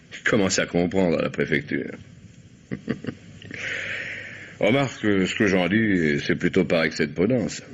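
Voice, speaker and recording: An actor playing a suspicious, bossy gangster, blunt, slangy and impatient, in a radio crime drama. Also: male voice, low-pitched, lively intonation, measured pace, pauses often, breathy edge, full character voice. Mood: suspicious, wry. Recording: dull vintage radio recording.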